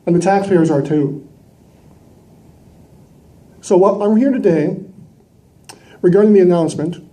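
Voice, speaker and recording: Indignant man, higher-pitched and mellow. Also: unhurried. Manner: indignant, combative, emphatic with rhetorical questions. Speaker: citizen delegate at a council meeting. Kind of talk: impassioned public address. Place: large indoor council chamber. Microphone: gooseneck microphone close to mouth.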